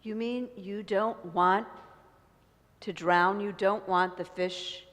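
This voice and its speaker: A woman voicing a stubborn, calm fisherman who speaks in a flat, matter-of-fact way. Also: mid-range voice, takes it slowly. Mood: unbothered. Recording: echoey hall with long reverb.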